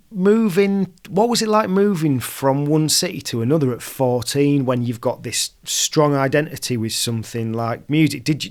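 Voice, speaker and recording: A man with a Yorkshire accent, hosting a casual interview in a conversational way. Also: mid-range voice. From West Yorkshire, England. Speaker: podcast host.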